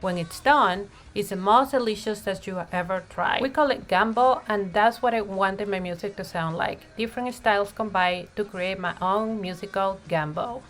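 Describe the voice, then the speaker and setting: A woman narrating a children's picture book in an expressive read-aloud style, with deliberate phrasing and emphasized words. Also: medium pitch. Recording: music playing behind the voice. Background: music.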